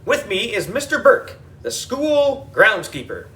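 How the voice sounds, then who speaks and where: A man's voice: high voice, sing-song, a little slow, sharp tone, far from the mic, pitched above the performer's own voice, full character voice. A man voicing an earnest, polite woman television reporter in a children's audiobook, with formal on-air delivery. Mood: announcing.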